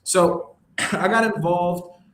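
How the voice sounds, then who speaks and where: A man's voice: high-pitched, slow pace. A young man from New York City, a wealth management advisor, talking casually and at length as a guest, with lots of fillers and an upbeat, self-promoting manner. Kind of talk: casual interview conversation. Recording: roomy echo with faint background hum.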